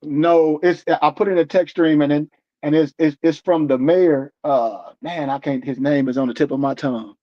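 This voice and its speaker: A man's voice: a little high, fairly even intonation, normal speed. Man in his forties preaching on a lo-fi stream. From United States. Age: 40s. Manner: rambling and emphatic, many restarts and repeated fillers. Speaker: host of an online teaching stream. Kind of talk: casual preaching lecture.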